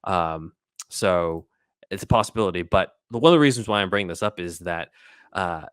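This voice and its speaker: A man's voice: low voice, moderate pace, a bit bright, clean tone, no room sound, studio quality. A man hosting a podcast, explaining things in a casual, chatty style. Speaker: podcast host.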